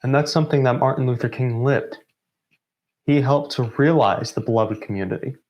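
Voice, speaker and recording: A man delivering a prepared closing address in deliberate phrases broken by pauses. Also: mid-range voice, a little mellow. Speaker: member of a student theatre group giving closing remarks.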